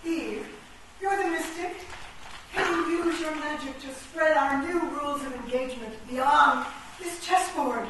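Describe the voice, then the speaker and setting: Woman playing idealistic leader of living chess pieces; slow, declamatory, high voice, radio-play style, echoey room. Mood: urging. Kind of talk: theatrical radio play acting. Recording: echoey, distant, noisy stage recording.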